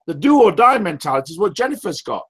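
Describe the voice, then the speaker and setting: Male call host, loud, high voice, emphatic, pushy repetition. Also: big pitch swings, fast talker, breathy edge. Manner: emphatic, repetitive, rhetorical questions. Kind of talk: motivational sales call. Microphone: call audio.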